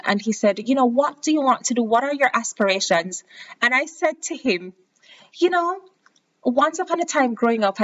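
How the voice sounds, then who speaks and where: A woman's voice: on the high side, dull audio. A young adult woman with a Jamaican accent, hosting a podcast and telling her own story in a chatty, casual way. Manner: chatty, animated when quoting others. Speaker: podcast host.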